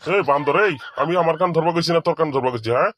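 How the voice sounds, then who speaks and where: A man's voice: fairly high pitch, rapid-fire, fairly bright tone, a little hiss or hum, natural voice. A man playing a stern, exasperated village schoolmaster in a comedy cartoon, with a scolding, hectoring manner. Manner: scolding, hectoring, mixing English words into Bengali.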